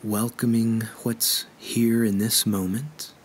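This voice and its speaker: A man leading a guided meditation in a gentle, unhurried way with measured pauses. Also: mid-range voice, little pitch movement, slight background noise.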